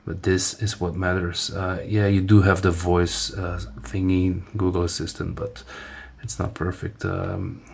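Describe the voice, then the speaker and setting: Casual male reviewer, Dutch first language, lowish voice, halting, full of restarts. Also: monotone, gravelly, husky. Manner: rambling, many restarts and fillers, demonstrating as he talks. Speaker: phone reviewer. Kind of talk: casual hands-on product review.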